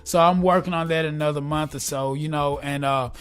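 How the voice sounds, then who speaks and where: A man in his forties, talking casually and earnestly in an interview, upbeat and a bit preachy, and quick to laugh. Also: a little high, steady tone, clean tone, soft background music. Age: 40s. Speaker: guest, gospel rap artist. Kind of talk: casual conversation.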